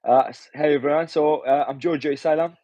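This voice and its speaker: A man's voice: fairly high pitch, fairly even intonation, a little fast, dry recording. A Romanian-born man in his twenties, a pro boxer, answering casually with frequent hesitations and "do you know". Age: 20s. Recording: dull muffled audio.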